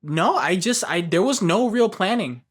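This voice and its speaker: A man's voice: high-pitched, animated, moderate pace. A young man from Japan speaking in a documentary interview as a tournament organizer, loose, rambling and jokey.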